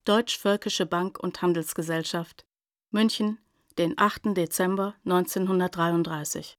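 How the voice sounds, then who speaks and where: An actress playing an impersonal announcer who reads out letterheads and telegrams in a neutral, official way for a radio play. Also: female voice, on the low side, steady tone, measured pace, dry recording, studio quality, close to an everyday voice. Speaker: narrator.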